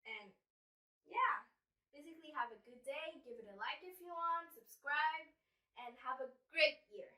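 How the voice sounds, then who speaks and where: A woman's voice: high voice, measured pace, bright, forceful tone. A teenage female student who speaks English as a third language, chatting informally in a casual vlog of tips, with plenty of filler words like "basically". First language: not English, which is a third language. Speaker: vlog host. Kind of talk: casual vlog giving study app tips. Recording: roomy echo, distant microphone.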